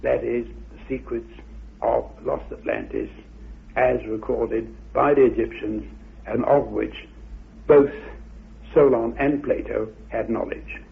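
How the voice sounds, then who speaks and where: Really raspy creaky guy explaining stuff formally, careful wording, muffled old radio sound. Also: medium pitch, expressive. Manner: measured, formal, precise. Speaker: expert commentator. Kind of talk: explanatory talk.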